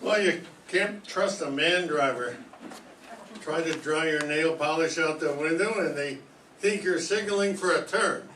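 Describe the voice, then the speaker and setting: An older man playing a gruff, blustering middle-aged husband in a 1940s-style radio comedy, grumbling and stammering. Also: a little high, a little slow, bright, forceful tone. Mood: flustered. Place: classroom stage. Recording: echoey room from far off, faint noise.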